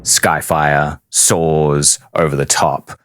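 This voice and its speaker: Very deep, slow, dramatic male game master. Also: little pitch movement, fairly bright tone, dry recording. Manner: deliberate, portentous, with long pauses. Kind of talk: dramatic tabletop game narration.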